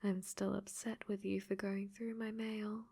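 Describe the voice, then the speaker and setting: A woman voicing a clingy, possessive dating-sim AI in a robot body for an ASMR roleplay, sweetly menacing and breathy, often dropping to whispers. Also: medium pitch, level tone, unhurried, mellow. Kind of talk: ASMR audio roleplay acting.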